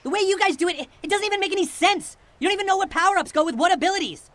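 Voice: extremely high pitch, very fast, fairly bright tone, no echo. A voice actor playing a defensive, stubborn cartoon boy, over game music.

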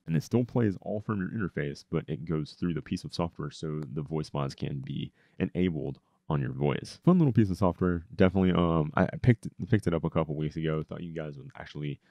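A man giving a casual, upbeat software review into a close microphone. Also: a bass voice, no echo. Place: indoor home streaming setup. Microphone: close boom-arm microphone.

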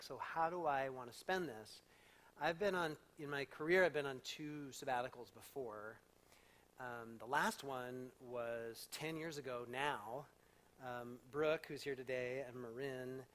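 A man's voice: mid-range voice, fairly bright tone, slight background noise. A middle-aged man, a pastor, speaking candidly and thoughtfully into a handheld mic in a church sanctuary. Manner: thoughtful, candid, unhurried with fillers. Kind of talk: casual reflective talk before a congregation. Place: church sanctuary with a live audience.

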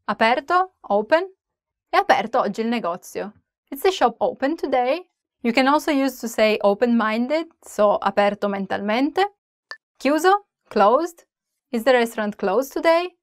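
A woman's voice: medium pitch, dry recording, clean studio sound. A young woman from Italy with an Italian accent, giving a chatty, playful on-camera language lesson. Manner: chatty, playful, switches between English and Italian example sentences. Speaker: language teacher. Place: small indoor room, plain wall or flag backdrop. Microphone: camera framed head and shoulders.

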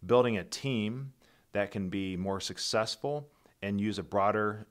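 Low-voiced middle-aged man giving a keynote. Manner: steady, methodical, businesslike, with occasional slips and restarts. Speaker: company founder presenting. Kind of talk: prepared keynote presentation over slides. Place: voice-over for a slide presentation.

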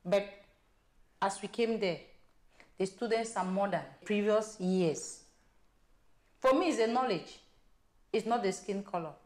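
A woman's voice: medium pitch, measured pace, long pauses. A woman teacher with a Cameroonian accent giving short, firm answers in an interview. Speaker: guest teacher.

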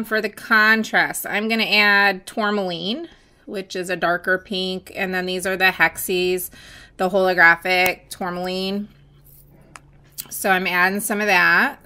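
A woman chatting casually while mixing glitter, her voice going hoarse as she loses it, with many pauses and fillers. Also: on the low side, sharp tone. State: losing her voice, hiccups. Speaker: nail art seller mixing glitter. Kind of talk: casual crafting voice-over.

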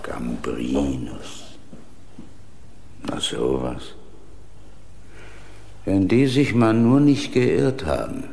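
An actor playing a fussy, pedantic castle caretaker with a gravelly voice in a German radio crime play. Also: male voice, rumbling low, animated, extremely slow, long pauses, heavily acted. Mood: doubtful. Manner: pedantic, self-assured. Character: fussy castle caretaker, stubborn about pronunciation.

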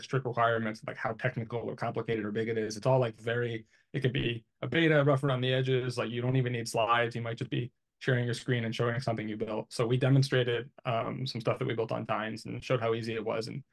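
A man's voice: medium pitch, flat delivery, fast, gravelly, hardly any pauses. A man in his thirties explaining things casually in an interview, thinking aloud in a conversational way, on a video call from a small room. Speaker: interviewee, IT engineer. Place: small home office, indoors.

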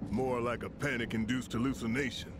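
An actor playing a gruff, burly, fiery rebel leader in a video game, with a gravelly American drawl and theatrical delivery. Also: male voice, medium pitch, steady tone, a little fast, heavy background noise, lightly acted. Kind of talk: video game cutscene acting. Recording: loud music behind the voice.

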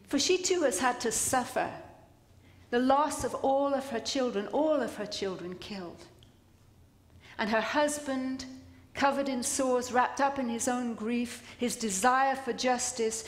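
An older woman priest giving a sermon from a church pulpit, with measured, dramatic delivery and deliberate pauses. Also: fairly high pitch. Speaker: priest preaching. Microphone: gooseneck lectern microphones. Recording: echoey church with long reverb.

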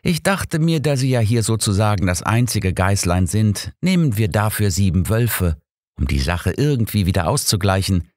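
A man's voice: on the low side, animated, moderate pace, no room sound, clean studio sound, lightly acted. A man voicing a bitter, mockingly cheerful killer in an audiobook, theatrical and taunting. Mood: gleeful. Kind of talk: audiobook character acting.

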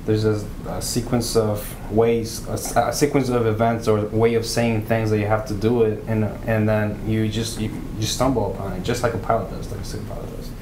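An echoey meeting room with audible noise surrounds a man in his thirties. He explains things informally on a panel, with fairly little movement in his pitch. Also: level tone, fairly quick, few pauses. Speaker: air traffic controller. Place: small meeting room, around a conference table.

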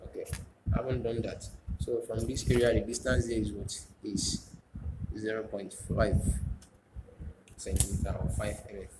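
A man's voice: lowish voice, flat delivery, moderate pace. A man giving a step-by-step drawing demonstration as a teacher, repeating phrases and answering his own questions. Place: indoors at a drawing desk. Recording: echoey room, noisy, with clicks.

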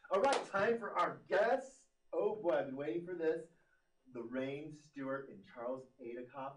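A man's voice: on the high side, moderate pace. A man in his forties hosting a live TV studio show, gushing and enthusiastic with his guests. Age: 40s. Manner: enthusiastic, effusive. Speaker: host. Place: TV studio set. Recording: roomy studio from a distance.

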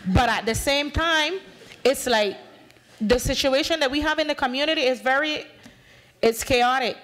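High, passionate Bay Islands Honduran woman. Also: moderate pace, a bit bright. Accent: Bay Islands Honduran English. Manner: passionate, emphatic, gestures widely, acts out dialogue. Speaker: panel speaker, community activist. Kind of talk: impassioned conference talk. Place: conference room at a podium. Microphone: podium microphone.

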